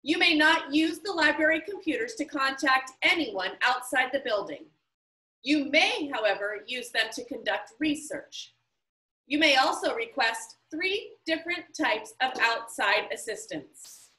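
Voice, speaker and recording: A woman's voice: sits high, expressive, unhurried, pushed and bright, exaggerated voice. Woman reading as a formal, precise librarian. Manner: crisp, formal rule-reading. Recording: roomy, somewhat distant mic.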